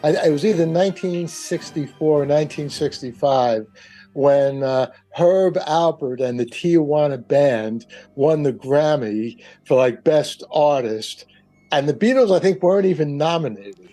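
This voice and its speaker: A man's voice: a little high, a little slow, dark tone, soft background music. An older man talking casually as a radio guest, telling stories with many digressions. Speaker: radio panel guest, author. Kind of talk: casual discussion.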